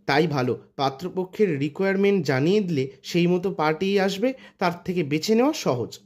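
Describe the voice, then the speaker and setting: A woman voicing a practical, anglicised gentleman friend in storybook dialogue, chatting with English words mixed in. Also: lowish voice, expressive, a little fast. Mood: advising. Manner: conversational, mixes in English words.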